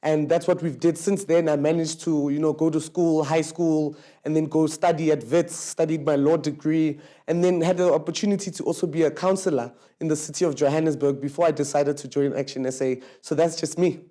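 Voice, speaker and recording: A man's voice: a little high, fairly even intonation, a little fast, hardly any pauses, dark tone. A South African man in his thirties, a mayoral candidate, speaking in a fluent, confident, conversational way. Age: 30s.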